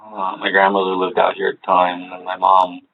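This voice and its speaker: Deep-voiced halting man on tinny tape. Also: completely flat delivery, gravelly. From Hawaii. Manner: hesitant, halting, many fillers. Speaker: interrogation subject. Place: police interview room. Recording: tinny low-fidelity room recording.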